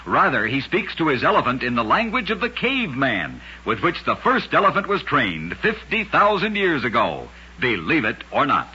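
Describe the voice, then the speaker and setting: A man's voice: medium pitch, huge pitch swings, moderate pace, pushed and bright, a little hiss or hum. A man hosting and narrating scripted old-time radio trivia stories in a dramatic, emphatic manner. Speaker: radio show host and storyteller. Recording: dull, lo-fi old radio recording.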